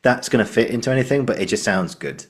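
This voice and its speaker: A man's voice: mid-range voice, lively intonation, fast. A British man hosting a podcast, chatting casually and encouragingly, repeating phrases for emphasis. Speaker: podcast host. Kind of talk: casual interview chat.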